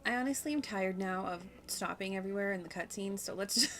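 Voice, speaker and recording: A woman's voice: mid-range voice, fast talker, fairly bright tone, slight background noise. A woman giving casual, rambling commentary as she streams a game, with playful, giggly asides. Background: game music.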